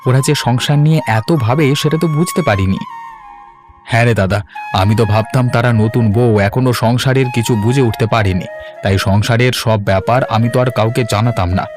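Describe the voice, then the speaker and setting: A man plays a reasonable young husband in a family cartoon. He speaks quickly in a mid-pitched voice, earnest and insistent. Music is clearly audible behind him. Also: close to an everyday voice. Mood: appreciative. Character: young village husband, questioning but reasonable son. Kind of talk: cartoon voice acting.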